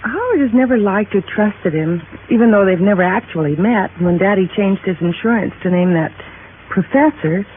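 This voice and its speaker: A woman's voice: mid-range voice, lively intonation, moderate pace, lightly acted. An actress playing a proper, anxious young married woman in a 1950s radio drama, polite and earnest. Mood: uneasy. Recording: dull, noisy 1950s radio recording.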